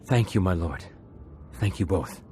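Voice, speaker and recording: An actor playing an anxious, craven young Sith trainee in a video game, earnest and faltering, with music underneath. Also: male voice, sits low, lively intonation, unhurried, dark tone. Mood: relieved, grateful. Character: anxious, defeated male Sith acolyte, craven and grateful. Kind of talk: scripted video game drama.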